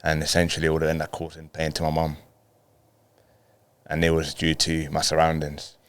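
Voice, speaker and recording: A man's voice: very deep voice, completely flat delivery, normal speed, clear, resonant tone. A man in his thirties from Colombia, a native Spanish speaker, telling personal stories casually as an interview guest. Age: 30s. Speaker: podcast guest, footballer.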